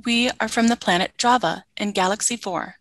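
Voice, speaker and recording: A woman's voice: medium pitch, normal speed, fairly bright tone, no echo. A woman playing a stern, obedient female alien soldier in a radio play, speaking in formal, clipped, literal statements. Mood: flat, dutiful.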